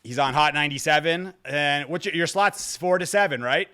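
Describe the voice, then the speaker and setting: Male podcast host, slightly high-pitched and forceful, informal, slangy, profane, conversational. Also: normal speed, clean tone. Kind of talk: casual interview chat.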